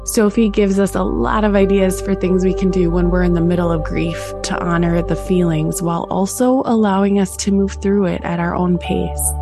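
A woman with a Wisconsin accent hosting a podcast interview, bubbly and laughing often. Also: fairly low pitch. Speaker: podcast host.

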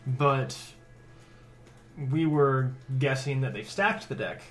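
A man's voice: medium pitch, lively intonation, slow pace, faint music behind. A man playing a tabletop game, patiently explaining the rules to the table. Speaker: player. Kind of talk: casual chat at a game table.

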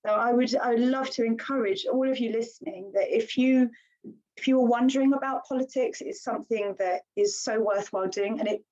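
Earnest, animated woman on a podcast. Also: fairly high pitch, brisk. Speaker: guest, village councillor. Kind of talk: casual podcast conversation. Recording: slightly dull lo-fi sound.

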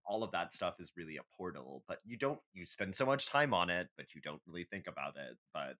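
Animated, low-pitched man with a bright voice. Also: brisk. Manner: animated, joking. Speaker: podcast co-host. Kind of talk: casual, bantering podcast chat.

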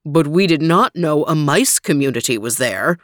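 A woman voicing a kindly elephant king who speaks in a calm, reassuring way. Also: low-pitched, moderate pace, no echo, studio quality. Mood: surprised.

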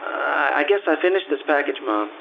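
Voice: medium pitch, animated, moderate pace, vocal fry, slight background noise. A performer playing an eager, sweet schoolboy son in an old-time radio drama. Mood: shy, earnest. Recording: dull lo-fi radio play, loud music behind.

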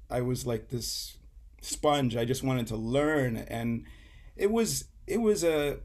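A middle-aged man from Saint Kitts talks as a guest musician in a relaxed interview. He rambles and doubles back, and he laughs often between his thoughts. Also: medium pitch.

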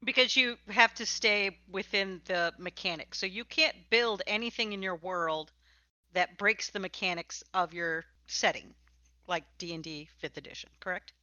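Female podcast host, casual and encouraging, crisp forward voice on dry, slightly lo-fi audio. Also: mid-range voice, normal speed, sharp tone, no echo. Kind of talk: casual conversational interview.

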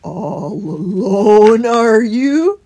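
A woman's voice: mid-range voice, expressive, extremely slow, warm tone, exaggerated voice. A woman voicing a kindly, reassuring male hedgehog gatekeeper in a children's story. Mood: kindly, concerned.

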